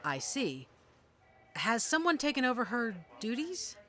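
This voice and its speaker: Composed motherly pack leader with lively intonation. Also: on the high side, a little slow, soft background music. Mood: polite. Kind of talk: audio drama acting.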